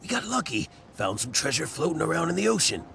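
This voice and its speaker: A man playing a rough, bossy but easily cowed treasure thief with a gravelly voice in a video game. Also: on the high side, big pitch swings, fast talker, background noise. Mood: flustered, appeasing.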